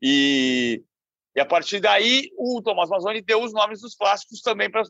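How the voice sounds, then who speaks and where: A man with a high, gravelly voice tells football history in quick runs of dates and names. The sound is dry and a little dull, without room echo. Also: lively intonation, sharp tone. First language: Portuguese. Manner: knowledgeable, rattles off dates and facts quickly. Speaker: sports journalist panelist. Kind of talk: podcast discussion, storytelling.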